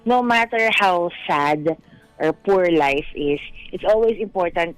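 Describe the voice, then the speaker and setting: A woman's voice: on the low side, expressive, takes it slowly, no echo, rough recording. A woman with a Filipino accent co-hosting a radio show, chatting reflectively in a mix of Tagalog and English. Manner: code-switches between Tagalog and English. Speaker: radio co-host. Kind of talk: casual radio chat with reflective commentary. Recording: dry studio voice over soft music.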